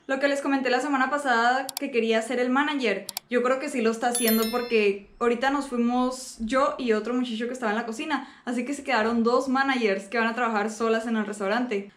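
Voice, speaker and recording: High-pitched young Mexican woman vlogging casually. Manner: chatty, colloquial, acts out reported dialogue. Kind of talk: casual vlog storytelling.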